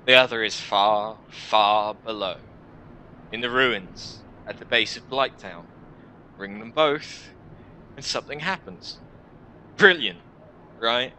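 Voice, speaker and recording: A man's voice: lowish voice, bright, forceful tone, slight background noise, heavily acted. Man acting a jaded, mocking warrior woman. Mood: weary sarcasm. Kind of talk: visual novel character voice.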